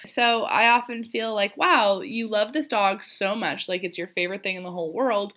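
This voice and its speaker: Young woman, sick voice, podcast co-host, dry wry humor, bright tone. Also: medium pitch, moderate pace. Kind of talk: casual chat.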